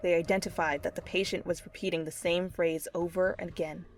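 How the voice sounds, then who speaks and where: A woman reading fan fiction aloud as an expressive second-person narrator in an ASMR style. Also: fairly low pitch, a little fast, a little hiss or hum. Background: faint music.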